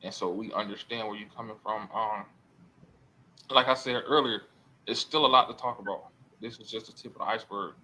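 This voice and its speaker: Earnest rambling man, bright tone. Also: fairly low pitch, a little fast, slight background noise, rough recording. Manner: rambling, self-correcting, earnest. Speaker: podcast guest. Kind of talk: casual podcast chat.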